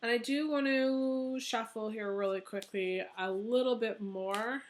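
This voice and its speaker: A young woman with a hoarse, fading voice, reading tarot in a casual, rambling way with many pauses and ums. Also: fairly high pitch, a little hiss or hum. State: hoarse, losing her voice. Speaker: tarot reader.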